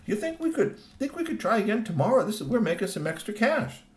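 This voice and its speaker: A man's voice: high voice, big pitch swings, fast talker, echoey room, pitched above the performer's own voice. A man voicing an eager, casual, wheedling small-time sidekick in a children's story. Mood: friendly, wheedling.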